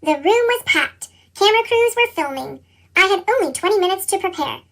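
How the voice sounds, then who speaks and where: A reader with a squeaky, pitched-up chipmunk effect, narrating a children's picture book and acting out the dialogue expressively. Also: a little fast, heavily acted. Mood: earnest, determined. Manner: expressive storytelling, acts out dialogue.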